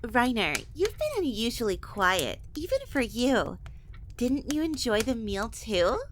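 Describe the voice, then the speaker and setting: A woman's voice: on the high side, big pitch swings, a little slow, slight background noise. A woman playing a rambunctious, childish vampire noblewoman, teasing and whiny, in an audio drama. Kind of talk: dramatic audio roleplay acting.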